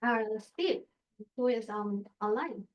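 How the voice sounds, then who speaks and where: A woman's voice: fairly high pitch, animated, slow. A woman moderating a panel and introducing the speakers informally. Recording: dull lo-fi sound.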